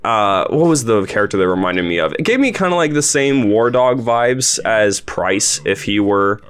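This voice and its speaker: A man's voice: lowish voice, expressive, moderate pace, clear, resonant tone. A man with an informal, rambling, appreciative way of talking, hosting a casual podcast commentary chat. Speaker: host and series creator interviewing a voice actor.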